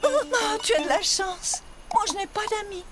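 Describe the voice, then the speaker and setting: A woman's voice: very high-pitched, moderate pace. A woman voicing a small, friendly, chatty bird in a children's cartoon. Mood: perky, friendly. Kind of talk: children's educational cartoon acting. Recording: loud music behind the voice.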